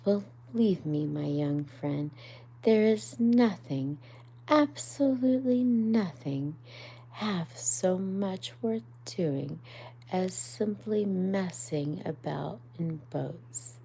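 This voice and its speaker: A woman voicing an excited water rat in a storybook reading, loud and exclaiming, dreamy about boats. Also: lowish voice, extremely slow, creaky, slightly rough, exaggerated voice. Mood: enthusiastic.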